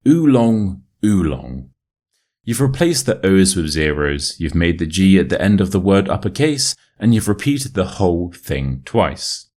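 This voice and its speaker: A man with a modern RP British accent reading a short story as an English teacher, in a measured, clearly enunciated way. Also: low-pitched, very animated, darkish tone. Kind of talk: scripted story narration for listening practice.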